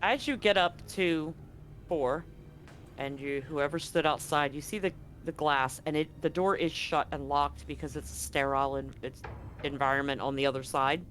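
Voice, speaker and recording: A man with a fairly high voice runs a tabletop horror game. He narrates scenes off the cuff, halting as he searches for the next detail. Soft music plays behind him. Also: steady tone. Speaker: game master.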